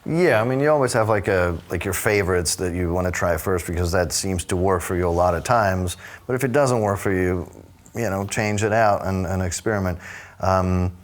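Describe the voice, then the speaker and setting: Low-voiced man with halting speech. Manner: halting, many fillers and restarts. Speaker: record producer. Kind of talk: casual studio conversation.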